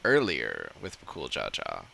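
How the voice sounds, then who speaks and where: A man's voice: a bass voice, wildly swooping pitch, unhurried, gravelly, bright, forceful tone, slight background noise. A man giving casual, musing live commentary as he streams a video game. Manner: musing, reactive. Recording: game music behind the voice.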